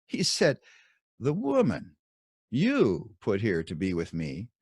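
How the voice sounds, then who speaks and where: A man with a fairly low voice narrates scripted religious commentary in the manner of an audiobook. His pitch swings widely as he stresses key words, and his phrasing is deliberate and dramatic, with pointed pauses. The sound is close and dry. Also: wildly swooping pitch, slightly rough, no echo. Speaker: author reading his own commentary.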